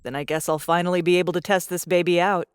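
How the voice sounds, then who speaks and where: An actress as a tough, practical young female adventurer in a science fiction audio drama. Her voice sits fairly low, and she talks in a dry, sure-footed, matter-of-fact way. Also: fairly even intonation, quick pace, smooth, no echo, lightly acted. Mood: wry, calm. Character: tall, confident, pragmatic young woman adventurer.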